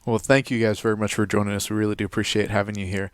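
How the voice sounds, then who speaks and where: A man's voice: on the low side, flat delivery, racing, clean tone, no room sound, clean studio sound. A man hosting a live radio show, upbeat and enthusiastic, with frequent filler words. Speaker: radio host.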